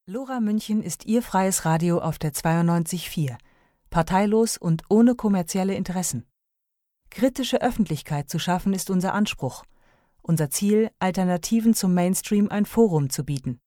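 A woman reading a radio station promo, spelling out contact details slowly and deliberately. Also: lowish voice, warm tone, dry recording, clean studio sound. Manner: slow, deliberate spelling of web address and phone number. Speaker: station announcer.

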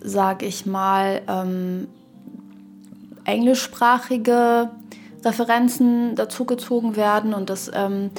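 A woman talks in a casual podcast conversation as co-host. Her voice sits at a middle pitch and moves within a fairly narrow range. She thinks aloud haltingly, using academic terms. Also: fairly even intonation, faint music behind. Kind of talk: casual, reflective podcast discussion.

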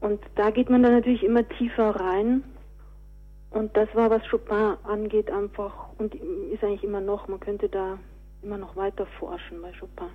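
A woman's voice: mid-range voice, little pitch movement, normal speed, phone-quality sound. A woman answering interview questions conversationally as an author and director, on a thin radio recording.

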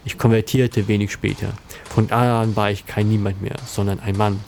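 A man's voice: fairly low pitch, level tone, normal speed, darkish tone. A man playing a zealous, disdainful young convert, narrating earnestly in a scripted audio drama. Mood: earnest, resolute. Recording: clear background noise and ambience.

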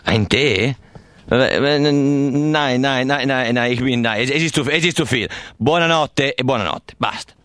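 A man's voice: medium pitch, measured pace, fairly bright tone, natural voice. A man playing a flustered Italian who refuses everything in a live radio play, stammering and repeating himself with an Italian accent. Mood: flustered refusal. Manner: stammering, repetitive, agitated.

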